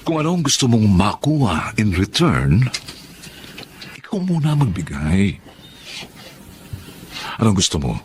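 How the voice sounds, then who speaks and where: A protective Filipino big brother in a drama, frank and preachy as he advises. His low voice moves in very lively swings, with faint noise behind it. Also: measured pace, pauses often. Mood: caring, advising. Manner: admonishing, explains by examples and rhetorical questions. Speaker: actor in a drama. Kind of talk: conversational Filipino drama acting with English phrases.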